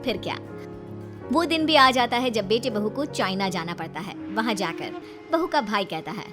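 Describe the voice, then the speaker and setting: A woman narrating a scripted moral story in a dramatic, expressive storytelling manner. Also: mid-range voice, moderate pace. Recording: loud background music.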